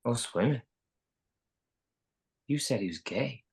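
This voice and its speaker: Man acting slow, husky, exasperated coworker. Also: medium pitch, animated, darkish tone, heavily acted. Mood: puzzled. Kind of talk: live table read of screenplay.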